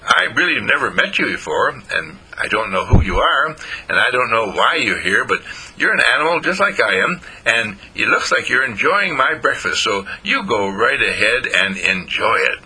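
A man voicing a friendly, trusting puppy dog in a told story, gentle, affectionate and earnest. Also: medium pitch, very animated, moderate pace, a little hiss or hum, rough recording. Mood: welcoming.

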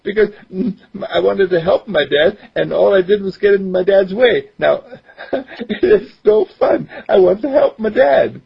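A man's voice: expressive, unhurried, creaky, breathy edge, lo-fi sound, full character voice. Man doing an eager ten-year-old boy. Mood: earnest. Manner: higher, eager, whiny.

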